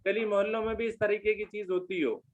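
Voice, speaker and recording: A man's voice: high-pitched, steady tone, a little fast, a little hiss or hum. A man hosting a casual group discussion, politely prompting guests to share old memories. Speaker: discussion host. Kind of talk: casual group chat on a call. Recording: dull lo-fi call audio.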